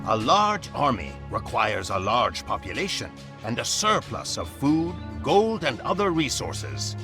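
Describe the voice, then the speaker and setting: A solemn mentor of war in a strategy game. His speech is formal and instructive, addressed to a commander, with lively rises and falls in pitch. Music plays clearly behind him. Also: measured pace. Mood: grave, instructive. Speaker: narrator and tutor. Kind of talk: scripted game cutscene narration.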